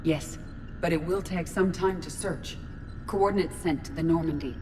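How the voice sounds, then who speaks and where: A woman voicing a calm female ship AI with an even, clipped delivery. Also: fairly low pitch, normal speed, noisy background, close to an everyday voice. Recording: reverb and loud game music.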